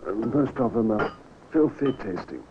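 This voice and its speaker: An actor playing a weak, bedridden husband, feeble and plaintive, in an old time radio drama. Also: male voice, medium pitch, lively intonation, unhurried. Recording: muffled, noisy old radio recording.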